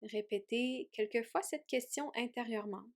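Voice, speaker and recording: A woman yoga teacher leading a guided meditation in a gentle, soothing way with long pauses. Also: on the high side. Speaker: yoga teacher guiding a relaxation.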